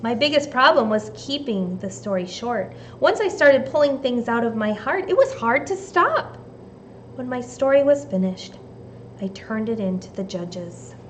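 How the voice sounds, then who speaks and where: A woman from the United States reading a storybook aloud and giving children simple, deliberate instructions like a teacher. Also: on the high side, lively intonation, slight background noise. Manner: deliberate, simple phrasing addressed to young children.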